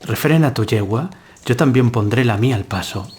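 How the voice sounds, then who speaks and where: A man acting as a young nobleman and huntsman in a gothic audio tale. He speaks in a middle-pitched voice with a grave, courtly and declamatory manner, like a formal storyteller. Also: animated, normal speed, a little mellow, slight background noise, natural voice. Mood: courteous.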